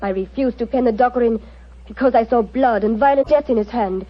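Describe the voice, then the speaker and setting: A woman playing a mysterious, proud fortune-teller in 1940s radio drama style, with solemn, deliberate, dramatic phrasing, on an old recording. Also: fairly high pitch, highs cut off, lightly acted. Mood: ominous. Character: mysterious Romani fortune-telling woman, musical, proud and wistful.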